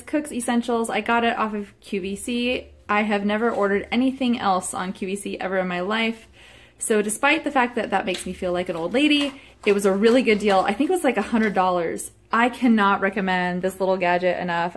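A woman in her thirties chatting casually as she shows a recipe in her small home kitchen, self-deprecating and quick to laugh, recorded on a phone camera held close. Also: medium pitch. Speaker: cooking vlogger. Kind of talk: casual cooking vlog chat.

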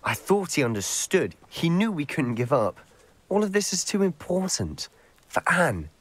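A man's voice: a little high, very animated, normal speed, fairly bright tone. A man voicing a determined, loyal teenage wizard schoolboy with a British accent in a video game, earnest and well-spoken. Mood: troubled.

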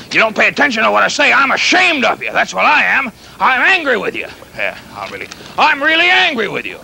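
Folksy, flustered pirate captain, animated and bright. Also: male voice, high-pitched, creaky, a little hiss or hum, heavily acted, poor audio. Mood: flustered, scolding. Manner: rambling, halting, stammering, repeats himself. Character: folksy, flustered, kindly pirate captain fond of his clumsy pet.

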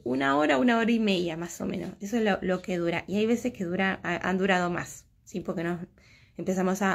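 Female, 30s, Argentine accent, medium pitch; chatty teacher answering viewers live on a phone at home. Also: vocal fry. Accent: Argentine Spanish. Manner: chatty, reading out and answering comments. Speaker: crochet teacher hosting a livestream. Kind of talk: casual live chat with viewers. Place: small room at home. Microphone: phone at arm's length.

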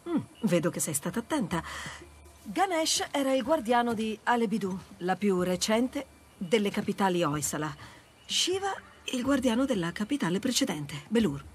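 A woman's voice: medium pitch, very animated, normal speed, slight background noise, lightly acted. A woman playing a wry, confident, teasing treasure hunter in an Italian video game dub. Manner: wry, self-assured, teasing. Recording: background music under dialogue.

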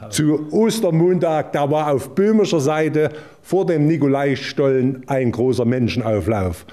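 A man's voice: on the high side, a little slow, dark tone. A man working as a TV reporter, putting questions in an on-location interview.

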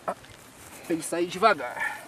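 A man's voice: sits high, animated, moderate pace, heavy background noise. A man in his thirties hosting a fishing show, narrating and explaining as he fights a fish from a pond bank, now and then coaxing it. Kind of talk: live commentary while fishing. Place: outdoors at a rural pond bank. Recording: outdoor rural ambience.

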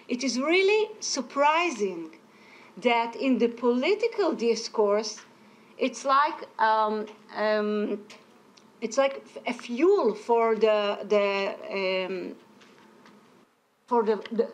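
A middle-aged woman giving an academic lecture as a keynote speaker, explaining and often searching for words. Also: a little high. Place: indoor lecture room at a podium.

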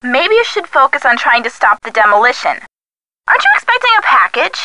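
A sardonic, quick-witted young woman sidekick from a video game. Her bright voice sits fairly high and moves animatedly, wry and teasing. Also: very animated, dry recording, full character voice, rough recording. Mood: sarcastic. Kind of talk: video game voice acting, phone dialogue.

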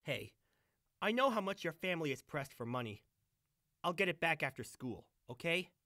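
A man acting a tense, creaky-voiced teenage loner boy. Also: on the high side, very animated, moderate pace, sharp tone, full character voice. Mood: quiet, brooding. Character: protective teenage boy loner, tough and brooding.

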